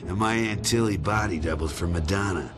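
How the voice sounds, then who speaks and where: A man playing a gruff, cocky tough-guy mutant hero in a video game, terse, slangy and defiant. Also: low voice, a little fast, noisy background, lightly acted. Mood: sardonic. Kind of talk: video game cutscene acting. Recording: loud music over the voice.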